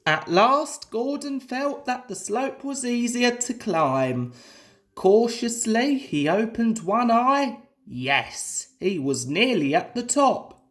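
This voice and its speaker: Slow, animated storyteller reading aloud. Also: medium pitch. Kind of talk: expressive children's story narration.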